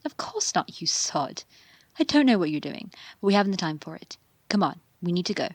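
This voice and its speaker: A woman's voice: fairly low pitch, big pitch swings, fairly quick. A woman playing a blunt, impatient but concerned young friend in a short film drama, brusque and teasing. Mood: exasperated. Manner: brusque, teasing, British slang.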